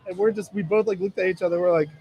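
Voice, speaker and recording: A man's voice: sits high, steady tone, racing, creaky, lo-fi sound, slight background noise. A man co-hosting a sports radio show in casual banter, telling stories in a blunt, joking way. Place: live remote broadcast at a casino.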